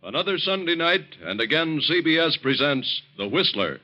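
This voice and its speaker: A man's voice: fairly high pitch, big pitch swings, moderate pace. A man announcing formally for a radio network in 1940s broadcast style. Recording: dull vintage radio recording, loud music.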